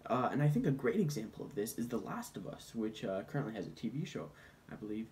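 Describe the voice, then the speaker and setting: A man's voice: mid-range voice, sing-song, fast, a little mellow, a little hiss or hum. A man giving casual, comedic film commentary in an informal, conversational way with mock-defensive asides. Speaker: film commentator presenting points. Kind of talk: casual comedic video essay, bantering with an unheard sidekick.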